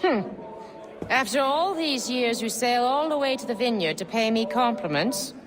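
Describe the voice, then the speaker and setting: An actress playing a sardonic, bossy tavern keeper in a video game. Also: female voice, a little high, animated, moderate pace, crowd noise, natural voice. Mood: wry. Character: wry, no-nonsense woman tavern keeper. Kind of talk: video game cutscene acting.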